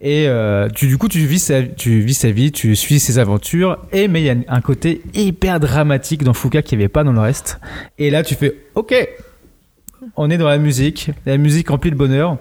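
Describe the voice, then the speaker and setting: A man's voice: a little high, normal speed, mellow. A man reviewing manga in a casual group chat, speaking informally and with enthusiasm, often repeating his phrases.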